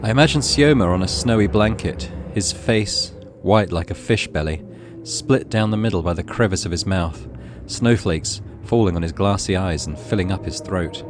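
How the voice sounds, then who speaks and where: Male narrator, low register, highly expressive, suspenseful horror game reading over music. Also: moderate pace, few pauses, background noise. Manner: theatrical, suspenseful. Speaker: gaming channel host reading aloud. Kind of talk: dramatic scripted narration of a horror visual novel.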